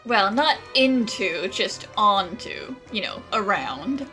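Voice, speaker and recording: A playful, brash young woman adventurer from a video game. Her bright voice is lively in pitch and casually teasing, over faint music. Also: slow, heavily acted. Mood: amused.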